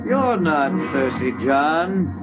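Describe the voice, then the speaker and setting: Eerie, very slow Martian impostor. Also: male voice, a little high, sing-song. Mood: eerily calm, menacing. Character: menacing Martian posing as captain's dead brother. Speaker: actor. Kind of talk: 1950s radio drama acting. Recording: muffled vintage radio recording with music.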